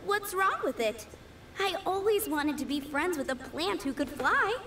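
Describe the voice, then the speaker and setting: A cheerful little flower girl from an anime game, sounding a bit far from the mic. The voice is high, sweet and innocent, with dreamy, very animated pitch over music. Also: a little slow, a little hiss or hum, exaggerated voice.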